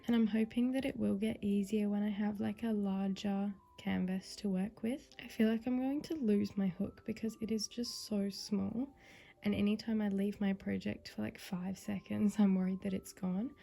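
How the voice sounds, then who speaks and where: A young woman vlogging casually about her crochet at home, her voice croaky and a bit nasal from a cold. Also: medium pitch. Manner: soft, chatty, relaxed, sometimes whispering. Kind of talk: casual vlog narration.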